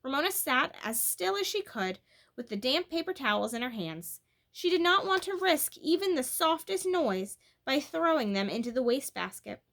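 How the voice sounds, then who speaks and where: A woman reads a children's storybook aloud. Her voice is bright and sits a little high. She delivers the narration expressively, with animated emphasis. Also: normal speed, vocal fry. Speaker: storybook reader.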